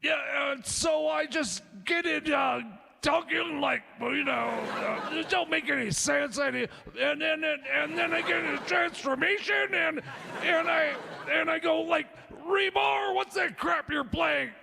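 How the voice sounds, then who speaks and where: A man playing an addled, cranky radio comedy sidekick with a gravelly, strained voice, stammering and repeating himself. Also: extremely high pitch, unhurried, raised voice, background noise, exaggerated voice. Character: Jeb Clemens, addled, cranky, shouting radio show sidekick. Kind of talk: improvised community radio comedy.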